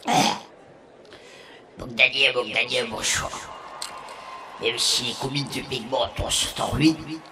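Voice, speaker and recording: Gravelly, pompous old alien king. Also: mid-range voice, fairly even intonation, slow pace, long pauses, background noise. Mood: pompous, fatherly. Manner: pompous, paternal public address. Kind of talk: comic radio serial acting.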